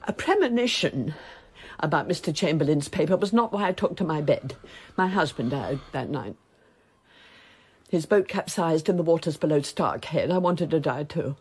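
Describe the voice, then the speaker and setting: A woman's voice: sits low, animated, moderate pace, a little mellow, breathy edge. An actress playing an imperious older English noblewoman in a radio drama, formal, wry and insistent, with an English accent. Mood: earnest, insistent.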